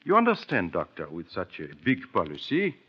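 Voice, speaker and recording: An actor playing a courteous, probing detective with a French-speaking Belgian accent and halting, formal phrasing in a radio drama. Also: male voice, low voice, wildly swooping pitch, breathy edge, exaggerated voice. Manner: halting, formal, questioning with small interjections. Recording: dull old radio recording.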